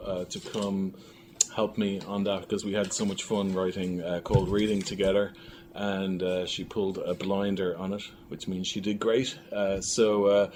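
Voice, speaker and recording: A man with a lowish voice talks casually as an interview guest about his creative work. He thinks aloud, halting and repeating words as he goes. There is some ambient noise behind him. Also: a little mellow. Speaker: filmmaker guest being interviewed. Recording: clearly noisy room recording.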